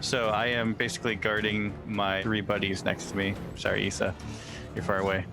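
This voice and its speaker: A man's voice: on the low side, level tone, normal speed, gravelly. A man playing a tabletop game, plainly explaining his moves as a player. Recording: music behind the voice. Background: background music.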